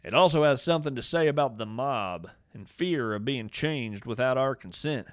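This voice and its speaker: A man narrating scripted fiction as a podcast host, with a measured, deliberate and expressive storytelling delivery. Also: mid-range voice, dry recording, clean studio sound.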